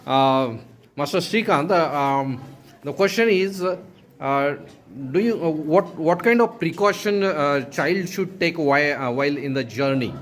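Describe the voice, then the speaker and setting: A man with an Indian accent moderating a classroom discussion as a teacher, halting often and repeating his phrases. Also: fairly high pitch. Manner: halting, repetitive phrasing with many hesitations. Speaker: schoolteacher hosting a class discussion. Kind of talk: classroom question-and-answer moderating.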